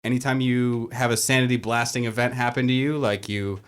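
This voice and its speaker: A man's voice: mid-range voice, steady tone, fairly quick, clear, resonant tone. A man speaking as a game master guest, explaining rules in a casual group chat. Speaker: tabletop and LARP game master, panel guest.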